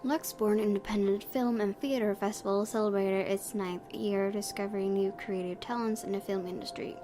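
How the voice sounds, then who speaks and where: A woman's voice: medium pitch, fairly even intonation, moderate pace, mellow. Woman reading game story aloud, noisy recording. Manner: stumbles over words while reading. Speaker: let's play streamer. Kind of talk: reading visual novel narration aloud with casual commentary. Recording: clearly noisy home recording.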